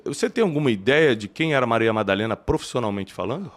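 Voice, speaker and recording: A man from Rio de Janeiro with a carioca accent, a theologian hosting an interview who asks questions and thinks aloud in an animated way. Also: medium pitch, fast talker. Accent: Carioca Brazilian Portuguese. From Rio de Janeiro, Brazil. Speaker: podcast host and theologian. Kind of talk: conversational interview.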